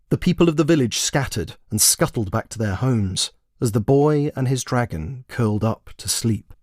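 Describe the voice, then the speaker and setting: A man's voice: medium pitch, dry recording, clean studio sound. A man narrating a story expressively, in the manner of a storybook reading. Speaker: author and narrator.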